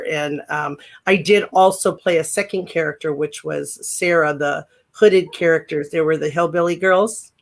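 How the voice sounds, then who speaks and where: A deep-voiced woman in her sixties talks through her memories as an interview guest. She rambles, circles back on herself and fills pauses with ums. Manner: rambling, full of fillers and restarts. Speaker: guest, former pro wrestler. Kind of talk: casual interview chat.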